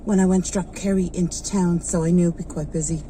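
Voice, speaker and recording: Irish woman, 40s, rambling car-vlog chatter, mellow tone, faint background hiss, slightly blocked nose. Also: lowish voice, fairly even intonation, quick pace. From Ireland. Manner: chatty, rambling, loses her thread. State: slightly stuffed up with a sore throat. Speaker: vlogger. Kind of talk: casual vlog chat while driving. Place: inside a car in traffic.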